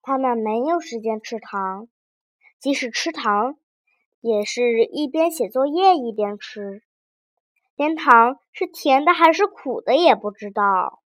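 A woman's voice: fairly high pitch, slow, dry recording. A woman narrating a children's fairy tale expressively, engaging young listeners as she goes. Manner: animated, addresses young listeners with questions. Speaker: children's storyteller.

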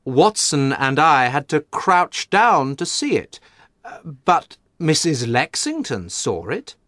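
Shrewd gentleman detective, courteous and ironic, far-swinging intonation, slow deliberate speech, for an audiobook. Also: a little high, big pitch swings, no echo, clean studio sound, pitched above the performer's own voice, full character voice. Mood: thoughtful, ironic. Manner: thoughtful, deliberate. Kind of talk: dramatised audiobook storytelling.

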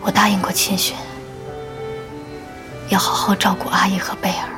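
An actress plays a caring, earnest woman from a modern radio drama. Her mid-pitched voice is soft and breathy, with a protective tenderness. Music plays clearly behind her. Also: level tone, normal speed, whispered, natural voice. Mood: tender, sorrowful. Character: gentle, earnest grown woman, protective and teasing partner.

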